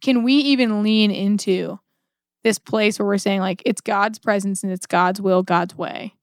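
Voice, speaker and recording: A woman with a mid-pitched voice speaks as a podcast co-host in a casual religious discussion. She is reflective and conversational, often saying "like" as she works through a thought. The recording is dry, with no room echo. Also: steady tone, measured pace.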